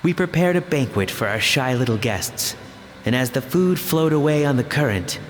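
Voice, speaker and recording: A man voicing a calm, gentle game character who tells a story slowly. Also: medium pitch, slight background noise, lightly acted. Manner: slow, storytelling. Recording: game audio with long reverb.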